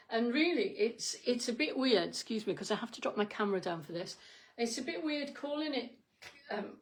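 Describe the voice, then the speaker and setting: A woman with a croaky voice, leading an online Pilates class in a chatty, encouraging way. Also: medium pitch. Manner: chatty, encouraging, with asides and self-corrections. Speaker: Pilates instructor. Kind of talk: instructing an online exercise class. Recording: echoey room, mic from a distance, music behind.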